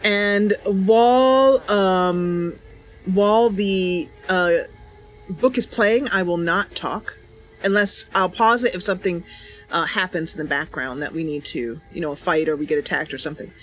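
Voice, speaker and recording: A woman hosting a casual gameplay livestream, chatty and giggly, with hesitant pauses. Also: fairly low pitch. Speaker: livestream host. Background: game music and ambient sounds.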